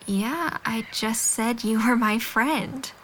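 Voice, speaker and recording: A young woman, played by a female actor in an audio drama, with a slightly high, bright and forward voice. She is frank and caring, reassuring in an earnest, persistent way. A little noise sits on the recording. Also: takes it slowly, rough recording. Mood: earnest, supportive.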